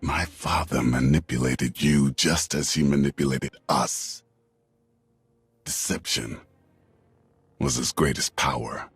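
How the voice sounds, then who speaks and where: Extremely deep, sorrowful warrior. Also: male voice, fairly even intonation, slow pace, pauses often, a bit bright, slightly rough, soft background music, heavily acted. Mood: bitter regret. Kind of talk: dramatic game acting.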